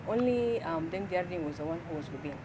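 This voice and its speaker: A woman's voice: fairly low pitch, expressive, fast. A young woman with an East African accent telling her personal story as an interview guest, often searching for words. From South Sudanese, grew up in Kenya. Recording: outdoor traffic noise behind voice.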